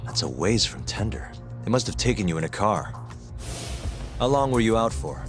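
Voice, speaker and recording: Very deep, wry private investigator played by an actor. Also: male voice, animated, soft background music, lightly acted. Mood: calm, probing. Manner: dry, sardonic, unhurried questioning. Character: cool, wry male private investigator, former lawyer, unflappable. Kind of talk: English video game dub acting.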